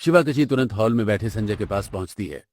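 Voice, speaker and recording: A man's voice: on the low side, expressive, fast talker, a little mellow. A man reading scripted narration for a cartoon story as its narrator.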